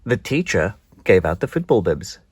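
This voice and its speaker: A children's read-aloud narrator with a slightly husky voice and a close, dry sound. The delivery is slow and hugely expressive, rising and falling across each phrase. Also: sits low, dry recording. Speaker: storybook narrator.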